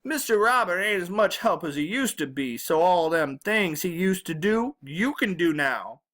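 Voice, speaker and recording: A woman's voice: fairly low pitch, animated, normal speed, a bit bright, voice pushed up. A woman voicing a feisty, bossy old grandmother with a Southern American accent and a folksy, scolding, emphatic way of talking, as in a storybook. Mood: affectionate bossy.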